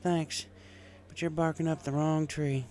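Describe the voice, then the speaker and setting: A man voicing an upset young country mare in a storybook reading, terse and flat, with an American country accent. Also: fairly high pitch, a little slow, voice pushed up, natural voice. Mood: dejected, wry. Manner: flat, sighing, few words. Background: music.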